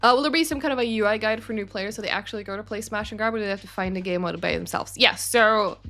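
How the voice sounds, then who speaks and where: A woman's voice: mid-range voice, quick pace, pushed and bright. Chatty woman hosting a game stream. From Sweden. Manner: chatty, rambling, frequent fillers and self-corrections. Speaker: community manager hosting a game studio stream. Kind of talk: casual livestream chat reading viewer questions.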